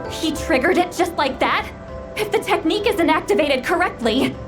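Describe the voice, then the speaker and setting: Haughty, ageless shaman girl for a fantasy game; high pitch, blunt dramatic lines, reverberant space. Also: animated, moderate pace. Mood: urgent. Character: proud, prickly two-century-old shaman woman with youthful voice. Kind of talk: video game voice acting. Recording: reverberant game scene with music.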